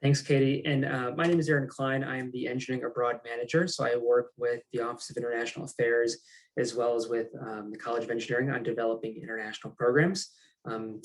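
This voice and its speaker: Monotone man moderating a panel. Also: mid-range voice, rough recording. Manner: measured, with many ums. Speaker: engineering abroad program manager, moderator.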